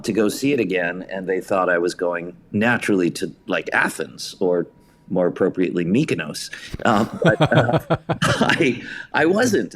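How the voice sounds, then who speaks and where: American male theater director as interview guest; lowish voice, wry, self-deprecating, conversational storyteller. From suburban New York. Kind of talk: casual anecdotal storytelling.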